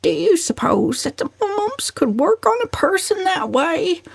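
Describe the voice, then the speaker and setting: A worried female relative from a storybook drama, acted by a woman reader. She moans and whimpers in a high, whining voice. She sounds endlessly fretful, close on the mic. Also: very animated, a little slow, creaky, no room sound, exaggerated voice. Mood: fretful, wailing. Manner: moaning, whimpering.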